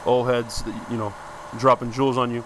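A man hosting a podcast, asking his guest relaxed, conversational questions. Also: mid-range voice, normal speed, slight background noise, low-quality recording. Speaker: podcast host. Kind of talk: interview questions.

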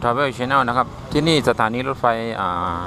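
A man in his thirties giving a casual, halting spoken tour as a travel vlogger outdoors. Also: mid-range voice, steady tone. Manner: halting, searching for words. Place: outdoors at a railway station. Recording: noisy outdoor ambience with traffic.

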